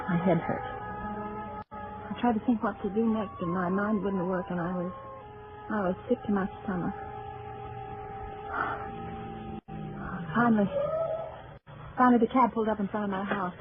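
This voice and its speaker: An actress playing a tormented older sister in a vintage suspense radio play, halting and repeating herself dramatically. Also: female voice, medium pitch, lively intonation, music in the background, natural voice. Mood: dazed, weary. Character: tormented older sister, a secretary, pleading and frightened. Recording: muffled, very noisy old radio recording.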